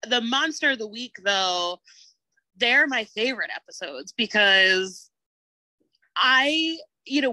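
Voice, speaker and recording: A woman's voice: mid-range voice, bright, forceful tone, no room sound, rough recording. A woman in a casual podcast discussion, explaining her opinions in loose, conversational phrases full of filler "like". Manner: conversational, peppers speech with "like".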